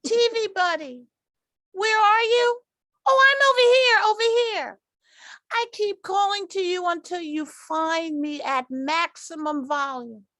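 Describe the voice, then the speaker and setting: Lady being a chirpy talking tv remote, super high voice, slow salesy radio ad, dry sound. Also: fairly bright tone, dry recording. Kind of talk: old-time radio commercial parody.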